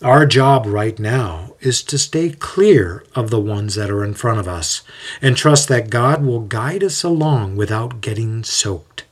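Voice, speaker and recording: A man plays a wise older rabbi in an audiobook story. He is serene and light-hearted, musing gently and taking his time as he teaches, in a slightly husky voice. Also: mid-range voice, lively intonation, a little slow. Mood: patient, teaching.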